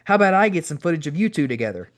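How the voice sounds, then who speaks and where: Guy doing a goofy over the top prankster wrestler, pitched up, phony, needling, audiobook bit. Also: very animated, rapid-fire. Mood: cheery, teasing. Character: cheery, mischievous prankster wrestler needling people.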